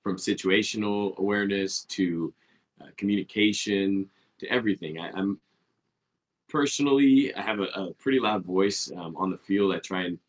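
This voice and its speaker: A man's voice: low voice, normal speed. A man speaking as a podcast guest, answering earnestly and rambling a bit, often tagging his points with "right?". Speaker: podcast guest, team player. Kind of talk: casual interview answers.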